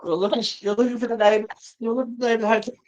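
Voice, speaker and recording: A woman's voice: fairly high pitch, steady tone, rapid-fire, darkish tone, muffled recording. A woman co-hosting a casual livestream, joking around in a theatrical, sweary way. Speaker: co-host of a live show.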